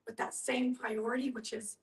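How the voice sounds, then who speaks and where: A woman's voice: a little high, flat delivery, normal speed. A woman giving conversational advice in a group discussion, explaining in an emphatic, organized way. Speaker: discussion participant. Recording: echoey room, distant from mic.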